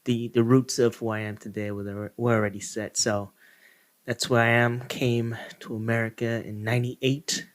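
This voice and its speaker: A man in his thirties, from Uganda, telling his life story as an interview guest with animated, laughing asides. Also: medium pitch, moderate pace. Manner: animated, laughing, acts out quoted voices. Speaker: guest radiologist.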